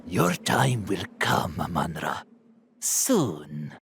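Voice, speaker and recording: A man's voice: sits low, very animated, extremely slow, faint music behind, exaggerated voice. An actor playing a vengeful, cruel male villain in a game cutscene, threatening and sneering. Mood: menacing. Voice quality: gravelly, sharp.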